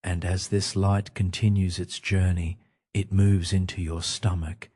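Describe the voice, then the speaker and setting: A man guides a sleep meditation in a very low, dark and relaxed voice. He speaks very slowly and soothingly, in short, gentle phrases with space left between them. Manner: slow, gentle, soothing delivery with pauses.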